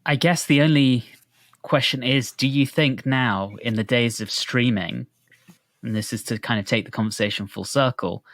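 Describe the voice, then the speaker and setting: A man's voice: mid-range voice, moderate pace, fairly bright tone, no echo. A man co-hosting a podcast, talking casually and reflectively as he explains his thoughts on music. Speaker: podcast host.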